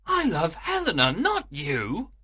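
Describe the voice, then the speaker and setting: A man's voice: high voice, very animated, unhurried, fairly bright tone, pitched above the performer's own voice, exaggerated voice. Young male lover for storybook drama, done by a man: ardent, callous, emphatic, hugely varied intonation. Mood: cold mocking.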